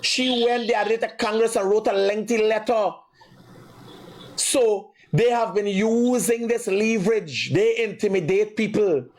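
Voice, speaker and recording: A man's voice: sits high, a little slow, darkish tone, rough recording. A man with a Guyanese accent hosting a live commentary show, speaking emphatically and repeating himself in an accusatory way. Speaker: live morning show host.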